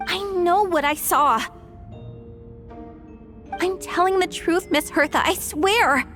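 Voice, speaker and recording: A woman's voice: extremely high pitch, takes it slowly, a bit bright, full character voice. A woman playing an earnest, frightened young townswoman giving testimony in a game, hesitant and nervous. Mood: defensive, pleading. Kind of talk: scripted game cutscene acting. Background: background music.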